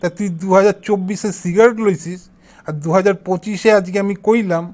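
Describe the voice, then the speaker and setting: A man's voice: high-pitched, fairly even intonation, a little fast, darkish tone, natural voice. A man playing a crafty, pushy shopkeeper in an amateur comic cartoon, scolding and badgering in an eastern Bengali accent. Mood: accusing. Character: pushy, crafty male shopkeeper demanding unpaid money.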